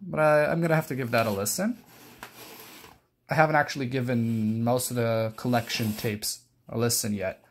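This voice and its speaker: A man's voice: medium pitch, steady tone, normal speed, slight background noise. A young adult man giving a casual, unscripted show-and-tell, rambling hesitantly and often correcting himself. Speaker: collector presenting his cassette collection.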